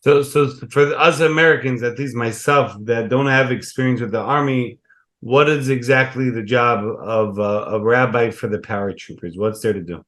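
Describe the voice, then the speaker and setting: A man's voice: mid-range voice, moderate pace, fairly bright tone. A man hosting a program, opening with formal welcomes and blessings and asking questions. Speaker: program host. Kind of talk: interview host.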